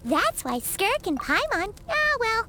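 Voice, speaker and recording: An extremely high, squeaky little fairy companion from a video game, excitable and cutesy. The childlike voice swings wildly up and down and takes its time over words, with clear noise in the recording. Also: female voice, takes it slowly, heavily acted. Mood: cheerful, excited. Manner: exclamatory, cutesy, refers to self in third person.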